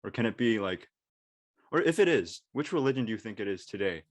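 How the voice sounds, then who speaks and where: A man's voice: fairly low pitch, lively intonation, fairly quick, dry recording. A man moderating a casual discussion, informal and tentative, feeling his way through his phrasing.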